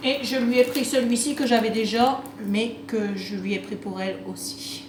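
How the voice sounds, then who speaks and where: Higher-voiced woman in an echoey, noisy room. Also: steady tone, moderate pace. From France, half Italian. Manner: hesitant, rambling amateur presenting. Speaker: craft vlogger showing a shopping haul. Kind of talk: casual unscripted chat to camera. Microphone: phone on a table. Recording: roomy echo, clearly noisy home recording.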